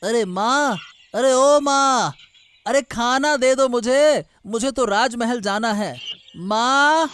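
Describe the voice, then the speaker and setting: A man's voice: very high-pitched, fairly bright tone, exaggerated voice. A man plays a harried courtier son in a cartoon moral tale. He fusses and complains in a plaintive voice, with music playing underneath. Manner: plaintive, fussing. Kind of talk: Hindi cartoon moral-story dubbing.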